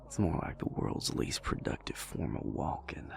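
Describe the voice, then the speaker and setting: A man playing a dry, affectionate, stubborn vampire boyfriend in an intimate audio roleplay, speaking in a gravelly, breathy murmur with a teasing drawl. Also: lightly acted. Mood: dry, amused. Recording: loud music behind the voice. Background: club music.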